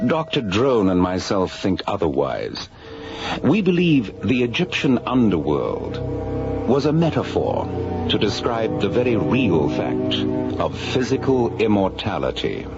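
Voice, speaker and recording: An actor playing a courtly, learned scholar in a radio drama, speaking formally and with measured erudition. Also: male voice, lowish voice, big pitch swings, dull audio. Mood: earnest. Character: courtly older male scholar, learned and keen on bold theories. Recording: band-limited old radio drama, music behind.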